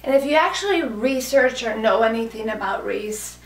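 Chatty hoarse woman in an echoey room. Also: on the high side, expressive, normal speed. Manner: chatty, gushing, many fillers like "kinda" and "like". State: hoarse from a cold. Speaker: fashion vlogger. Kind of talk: casual clothing haul chat. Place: small indoor room with a clothes rack. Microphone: camera mic from a few feet away. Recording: echoey room, mic at a distance.

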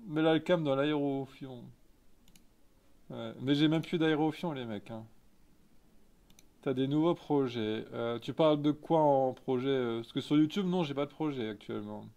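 A man's voice: medium pitch, moderate pace, warm tone. Chatty man with an eastern French accent. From Lorraine, France. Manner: informal, candid, slangy. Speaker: live streamer and photographer. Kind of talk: casual chat, reading and answering live chat. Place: small indoor photo studio office.

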